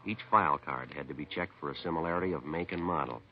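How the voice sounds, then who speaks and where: An actor playing a matter-of-fact police detective in a 1950s radio drama, clipped, deadpan and businesslike. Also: male voice, very deep voice, quick pace. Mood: deadpan narrating. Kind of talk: terse police procedural narration and dialogue, 1950s radio. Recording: dull vintage 1950s radio recording.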